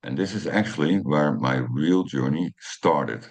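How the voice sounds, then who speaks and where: A man in his fifties with a Dutch accent and an extremely low, deep voice. He talks English as a second language, slowly building a personal story with frequent ums and uhs as he checks his notes. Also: animated. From Netherlands. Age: 50s. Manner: hesitant, many ums and uhs, reads from notes. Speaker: channel host.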